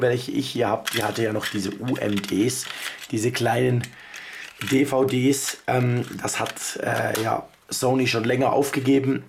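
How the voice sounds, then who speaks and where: A man, hoarse from a cold, casually reviewing a handheld gadget and sounding a little sarcastic about its maker. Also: mid-range voice, a little mellow, a little hiss or hum. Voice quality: gravelly. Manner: chatty, offhand, mildly sarcastic about the maker. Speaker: tech video host. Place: indoors at a table, off camera.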